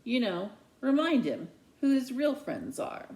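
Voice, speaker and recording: A man's voice: extremely high pitch, sing-song, unhurried, creaky, darkish tone, a little hiss or hum, pitched above the performer's own voice, exaggerated voice. A woman voicing a resentful, sarcastic middle-school boy in a children's novel read aloud. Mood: pleading, scheming. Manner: indignant, sarcastic.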